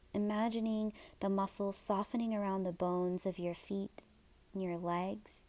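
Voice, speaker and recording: Woman yoga teacher, mellow relaxed voice, soft n breathy, guided meditation. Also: medium pitch. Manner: soft, soothing, breathy at phrase ends.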